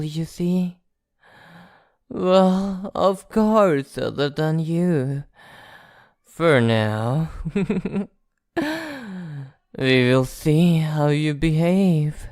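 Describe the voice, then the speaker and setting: Man voicing ancient vampire, very slow delivery, smooth clear tone, amused, condescending, menacing, ASMR roleplay. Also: fairly high pitch, no echo, studio quality. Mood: gleeful, menacing. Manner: slow, theatrical, teasing, softly threatening.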